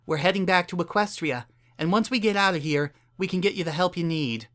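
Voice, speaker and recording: A man plays a caring female pony mayor in a dramatic story reading. The voice is lifted well up high, worried and urgent, pressing to help. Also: fairly quick, fairly bright tone. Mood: urgent, reassuring.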